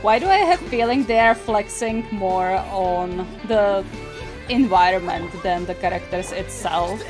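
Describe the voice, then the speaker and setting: A woman giving casual, chatty reaction commentary as the host of a gaming channel, often giggly and quick to add disclaimers. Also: mid-range voice, over music.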